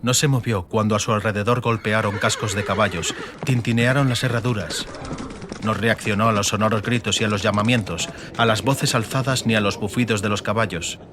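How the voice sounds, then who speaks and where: A man narrating a fiction audiobook dramatically, in solemn, measured short phrases. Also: lowish voice, steady tone, background noise.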